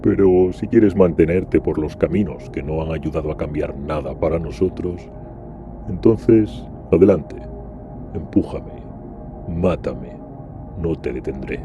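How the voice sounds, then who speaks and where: A man playing a scarred, ambitious Iron Warrior, speaking in a low, measured, bitter and commanding way, in a fan audio drama. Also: dull audio, over music.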